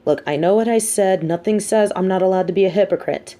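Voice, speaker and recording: A woman playing a sardonic, teasing supervillain with a hidden soft side, talking straight to the listener in an audio roleplay with theatrical, mocking emphasis. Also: mid-range voice, brisk, natural voice. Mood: philosophical, wry. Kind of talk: scripted audio roleplay monologue spoken to the listener.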